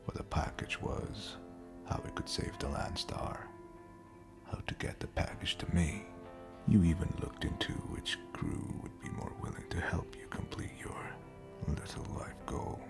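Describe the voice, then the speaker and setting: An ancient, coldly resolute space captain speaks in an extremely low, breathy voice. He is brooding and homesick, and every line comes slowly and solemnly, as audio drama over clear background music. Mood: bitter. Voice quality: gravelly, breathy near-whisper. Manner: slow, solemn, deliberate storytelling. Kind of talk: scripted audio roleplay drama.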